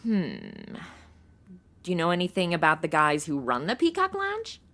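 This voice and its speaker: A woman's voice: fairly low pitch, wildly swooping pitch, moderate pace, sharp tone, heavily acted. An actress playing a breezy, scatterbrained young modern woman in a comedy audio drama, flippant and full of slang. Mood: glib, curious. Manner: flippant, slangy Valley-girl phrasing with "like" and OMG. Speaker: lead character and narrator.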